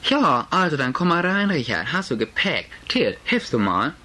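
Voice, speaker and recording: A man's voice: on the high side, very animated, normal speed, bright, forceful tone, voice pushed up, heavily acted. A man voicing a nervous middle-aged housewife and mother in an audiobook, anxious and placating, covering with forced cheer.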